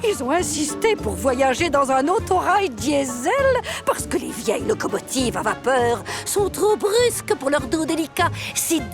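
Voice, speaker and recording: A woman's voice: sits high, big pitch swings, a little slow, hardly any pauses. A woman voicing a vain, boastful female railcar with a grandiose, affected manner in a children's cartoon. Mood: haughty bragging. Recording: music behind the voice.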